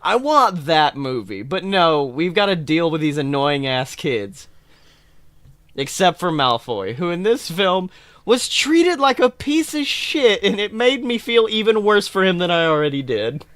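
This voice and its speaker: A man's voice: high voice, measured pace, no room sound. A man hosting a podcast, chatting casually and ranting about things in an exasperated, sweary, comic way. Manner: animated, exasperated, sweary complaining. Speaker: podcast host. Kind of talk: casual chat, comic film rant.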